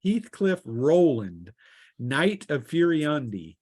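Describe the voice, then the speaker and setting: A man's voice: on the high side, big pitch swings, slow, darkish tone, husky, no room sound, clean studio sound. Man casually running a tabletop game. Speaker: game master.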